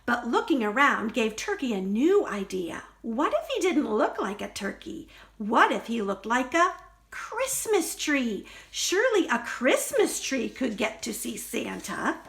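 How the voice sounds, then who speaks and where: Woman storyteller, very lively intonation, slow deliberate pace, children's picture book reading, expressive. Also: on the high side, vocal fry. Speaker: librarian storyteller.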